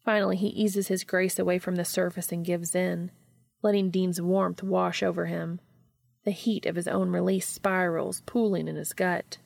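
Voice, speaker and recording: A woman's voice: on the low side, level tone, moderate pace, creaky, darkish tone, dry recording, clean studio sound. A woman reading scripted fiction as an audiobook narrator.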